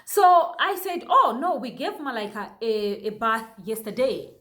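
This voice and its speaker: A woman's voice: fairly high pitch, very animated, measured pace, fairly bright tone. A young woman from Zambia telling viewers about her experience as a new mother, in a chatty way with many fillers and restarts. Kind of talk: casual personal storytelling to viewers.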